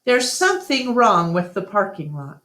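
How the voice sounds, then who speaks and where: A woman voicing a brisk, money-minded male business owner with an emphatic, wry manner. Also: mid-range voice, big pitch swings, fairly bright tone, pitched above the performer's own voice. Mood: wry, upbeat. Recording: roomy, echoing room sound.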